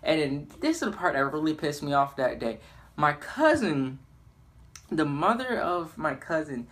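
A man's voice: fairly high pitch, unhurried. Young guy telling stories casually. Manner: informal, rambling, acts out quotes excitedly. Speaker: YouTube gamer and storyteller. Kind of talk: casual storytelling over gameplay.

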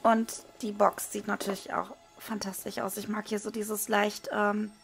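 A woman with a hoarse voice, casually commenting as she unboxes trading cards at a desk. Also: mid-range voice, measured pace, faint music behind. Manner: relaxed, with frequent hesitation fillers. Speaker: card unboxing video host. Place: indoors at a desk, hands over table. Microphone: small camera-mounted microphone.

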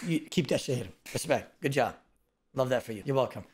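A young man streaming random video chats from his bedroom on a headset, teasing strangers loudly and joking around. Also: mid-range voice, lively intonation, fairly quick, slight background noise. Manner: rowdy, teasing, bursts into shouts and laughter. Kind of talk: loud joking video chat banter.

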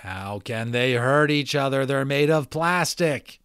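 A man's voice: mid-range voice, big pitch swings, normal speed, no room sound, clean studio sound. A man doing a sarcastic, doubting schoolboy. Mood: incredulous. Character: skeptical schoolboy friend.

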